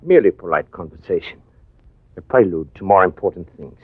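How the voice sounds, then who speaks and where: A man's voice: deep voice, expressive, a little fast, slightly rough, no echo. A man acting a menacing, jealous rival in a 1950s radio drama, stiffly polite and precise, with veiled threat. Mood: coldly polite. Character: menacing, formal, jealous male rival villain. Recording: thin, phone-like vintage radio recording.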